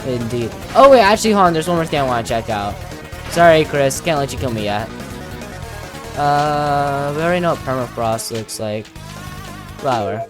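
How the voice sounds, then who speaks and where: Low-voiced woman doing playful gameplay commentary. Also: lively intonation, moderate pace. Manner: jokey, mock-dramatic asides. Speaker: gaming video creator commenting on gameplay. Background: music.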